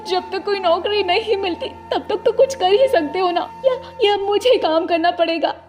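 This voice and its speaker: Cartoon mother bird, played by a woman: high-pitched, plaintive, poor and troubled, over clear music. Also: normal speed, a little mellow. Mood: tearful pleading. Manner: plaintive, pleading. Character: distressed poor young mother bird, tearful and worried.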